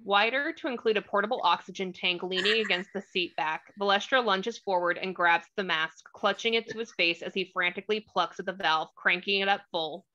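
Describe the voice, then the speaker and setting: A woman narrating screenplay stage directions in a crisp, dramatic scene-setting manner. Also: mid-range voice, a little fast, hardly any pauses, bright, forceful tone, dull audio.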